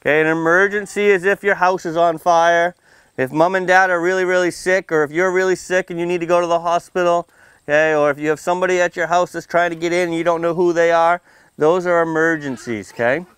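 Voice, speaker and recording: High-pitched, clear-voiced man talking to kids. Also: steady tone, a little fast, a bit bright, dry recording. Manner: asks questions, checks in often with "okay" and "right"; playful teasing. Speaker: firefighter presenting to children. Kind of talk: simple interactive safety talk for kids. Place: outdoors under a tent canopy beside a building, small seated audience.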